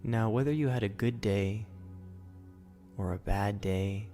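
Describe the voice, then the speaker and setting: A man leading a guided sleep meditation as host, in a soothing, gentle, instructional manner. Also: on the low side, a little slow, faint music behind.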